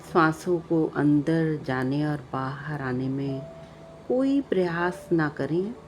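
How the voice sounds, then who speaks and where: A woman with a low voice leads a guided meditation. She speaks very slowly and calmly, leaving long pauses between measured instructions. Music plays behind her. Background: background music.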